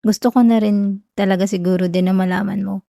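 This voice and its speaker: A young Filipino woman acting a whiny, shy tsundere college girl confessing love in a soft, hesitant ASMR roleplay. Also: medium pitch, steady tone, a little fast, gravelly, dry recording, clean studio sound, natural voice. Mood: wistful, tender.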